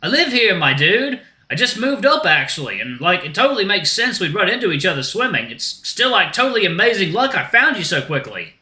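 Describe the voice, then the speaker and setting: A man's voice: high-pitched, sing-song, fairly quick, hardly any pauses, sharp tone, reverberant, pitched above the performer's own voice, full character voice. A man voicing a laid-back, upbeat shark who talks in slangy surfer-dude speak, in an audiobook-style story. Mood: friendly, excited. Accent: put-on Australian.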